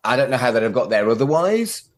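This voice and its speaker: A man's voice: mid-range voice, very animated, quick pace. A man, chatting casually as a podcast co-host. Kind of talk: casual chat about a TV show.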